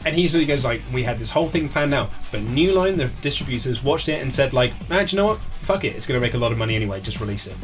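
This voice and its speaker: A man's voice: mid-range voice, expressive, racing, few pauses. British man chatting over background music. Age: 30s. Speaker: interview guest, author. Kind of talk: casual anecdotal interview chat. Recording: music playing behind the voice.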